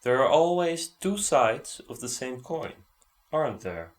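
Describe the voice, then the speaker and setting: A man's voice: mid-range voice, sing-song, a little slow. A man commenting on a video clip, rebutting it in an earnest, argumentative way.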